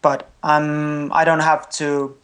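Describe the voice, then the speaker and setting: A young man with an Estonian accent, a non-native English speaker, giving candid, good-humoured interview answers as a triathlete and pausing to search for words. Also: a little high, completely flat delivery, a bit bright, clean tone. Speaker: triathlete guest.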